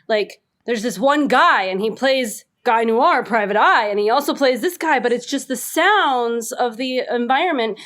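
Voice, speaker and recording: A woman's voice: fairly high pitch, fairly bright tone. A woman chatting casually as a podcast co-host. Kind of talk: casual chat.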